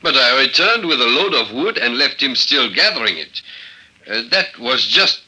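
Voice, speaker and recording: A man playing a defensive, quick-tempered mountain guide in an old radio drama, hesitant and touchy. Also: medium pitch, big pitch swings, pushed and bright, husky, full character voice, rough recording. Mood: uneasy, defensive.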